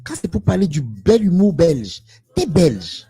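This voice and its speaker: A man's voice: on the high side, big pitch swings, unhurried, vocal fry, warm tone, breathy edge, soft background music. A man giving an impassioned live monologue to viewers, emphatic and preachy, repeating phrases and asking rhetorical questions. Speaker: live stream speaker.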